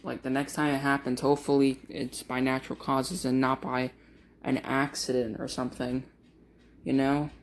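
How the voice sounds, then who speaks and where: A grieving man talking to camera in a vlog, in a monotone, halting with many fillers and restarts. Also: medium pitch, a little hiss or hum. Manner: monotone, uninteresting voice. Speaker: vlogger. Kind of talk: casual talk to camera.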